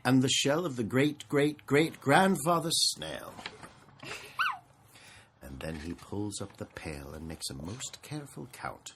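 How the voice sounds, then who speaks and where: An adult reading a children's storybook aloud in an expressive, dramatic way, stretching out words for effect. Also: mid-range voice, a little slow, heavy background noise.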